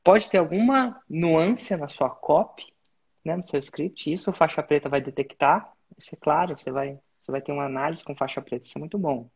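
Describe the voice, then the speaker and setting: A husky-voiced man leads a coaching call in a direct, instructive manner, breaking his advice into clear points. His voice sits at a middle pitch, and the recording sounds dull and a bit lo-fi. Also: a little fast. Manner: direct, instructive, uses sports-belt metaphors. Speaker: business mentor and host. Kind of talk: live coaching session.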